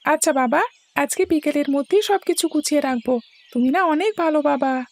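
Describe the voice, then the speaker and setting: High-pitched, sulky little schoolboy. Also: female voice, fairly quick, darkish tone, dry recording. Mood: happy, promising. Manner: pleading, petulant, childlike. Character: eager, sulky, chatty young schoolboy. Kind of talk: Bengali cartoon story dialogue. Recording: music behind the voice.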